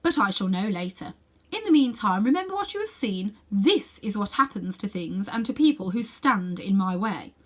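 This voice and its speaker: A woman's voice: mid-range voice, sing-song, moderate pace. A woman reading a children's storybook, voicing a proud, ruthless sorceress queen with haughty, emphatic, declamatory delivery. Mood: haughty, threatening.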